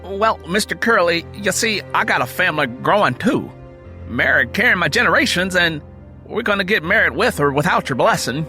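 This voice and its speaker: A man performs an earnest young country churchman in an audio drama, high and bright. He speaks steadily and respectfully in folksy Southern dialect, polite yet set on his purpose, with lively pitch. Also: normal speed, soft background music, full character voice. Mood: determined. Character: earnest young rural churchman suitor, twenty-seven, polite but determined.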